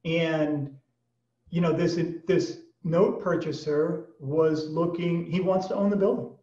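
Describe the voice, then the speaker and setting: An American man in his fifties talks as a podcast guest in a fairly high voice. He restarts often and wanders into asides. He is on a video call from a small room, echoey and slightly dull in sound. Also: steady tone, takes it slowly, warm tone. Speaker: auction executive, podcast guest. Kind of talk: conversational interview answers. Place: small plain room indoors. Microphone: computer mic on video call. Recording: boxy, echoey video call, distant mic.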